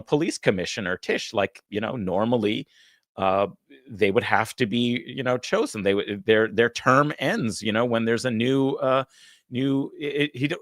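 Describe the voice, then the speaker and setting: A man moderating a livestream panel discussion, talking in a halting way with many fillers and restarts. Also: medium pitch, a bit bright, no echo, studio quality.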